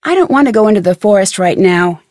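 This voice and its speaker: A woman playing a curious, determined young detective in a video game, thinking aloud in crisp speech with an American accent. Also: mid-range voice, animated, fast talker, a little mellow, no room sound, studio quality, natural voice. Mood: curious, focused.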